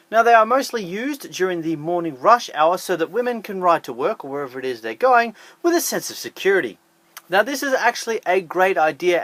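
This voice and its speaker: A man's voice: high-pitched, fairly bright tone, dry recording. A man hosting an online video, narrating a scripted countdown list in an upbeat, emphatic way with jokey asides. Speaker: YouTube host.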